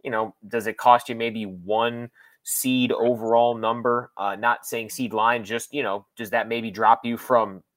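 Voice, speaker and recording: A man's voice: mid-range voice, flat delivery, unhurried, fairly bright tone, no room sound. A man working as a sports broadcaster, giving conversational analysis as a guest, explaining and qualifying his points with emphasis.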